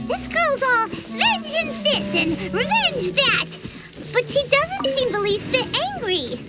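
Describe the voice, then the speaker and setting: A woman's voice: very animated, takes it slowly, heavily acted. A woman voicing a tiny, chatty female companion sprite in a game, who flusters easily and speaks of herself in the third person. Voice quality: very high, squeaky. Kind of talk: game voice acting. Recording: game audio with loud music behind.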